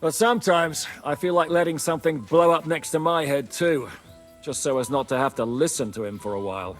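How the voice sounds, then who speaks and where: An actor playing a practical, plain-spoken leader of a medieval band in a naturalistic game cutscene. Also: male voice, on the high side, fairly quick, faint music behind, lightly acted. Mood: wry, conversational. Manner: blunt, matter-of-fact.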